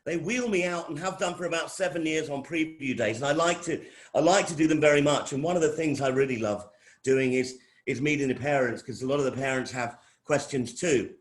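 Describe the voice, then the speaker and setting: A man's voice: fairly high pitch, a little fast. A middle-aged man with an English accent giving an informal welcome talk as a college lecturer, often repeating phrases and restarting his sentences. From England.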